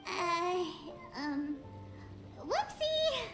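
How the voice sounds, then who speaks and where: A woman's voice: very animated, extremely slow, reverberant, heavily acted. A woman voicing a small childlike fairy companion, cheeky and perky, in an anime video game. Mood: sheepish. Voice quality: squeaky, childlike. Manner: perky, exaggerated, refers to self by name. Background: game music.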